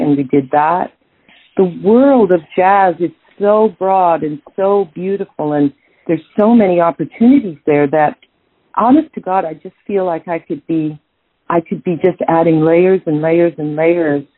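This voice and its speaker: Canadian jazz singer lady, middle-aged, husky, lots of ums and restarts, phone-ish audio. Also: on the low side, dry recording. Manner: thoughtful, many restarts and fillers. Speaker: jazz singer, interview guest. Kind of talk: casual interview answers. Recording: tinny phone-like line.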